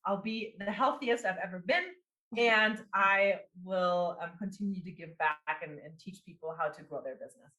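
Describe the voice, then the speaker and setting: A woman's voice: fairly low pitch, normal speed, pushed and bright, roomy sound, rough recording. A woman in her forties, a business coach and marketing executive, talking casually in an interview with many fillers and restarts. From immigrant. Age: 40s.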